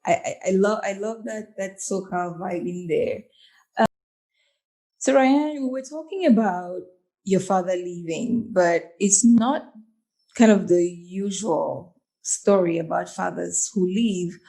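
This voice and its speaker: Woman host from Cameroon, gravelly, mellow tone, probing questions, repeats words. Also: mid-range voice, slow, rough recording. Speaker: podcast host. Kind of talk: casual interview.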